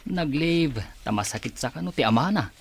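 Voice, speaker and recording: A man playing a curious, gossipy office employee with a husky voice and a Filipino accent in a radio drama. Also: fairly high pitch, animated, normal speed, full character voice.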